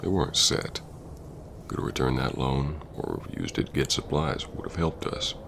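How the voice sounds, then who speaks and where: A man playing a stern, hurt Old West bounty hunter confronting an old flame in an ASMR roleplay, brooding and halting yet tender. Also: sing-song, noisy background, exaggerated voice. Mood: wounded, pressing. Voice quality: very deep, gravelly drawling voice. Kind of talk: ASMR roleplay acting, spoken to the listener.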